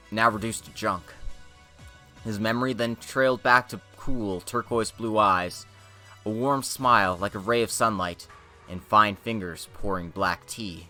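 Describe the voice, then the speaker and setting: A man's voice: on the low side, sharp tone. A man narrating a fantasy tale as a dramatic reading.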